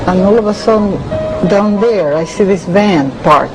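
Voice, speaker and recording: An eyewitness giving a halting, repetitive interview account of events. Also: medium pitch, music in the background, rough recording.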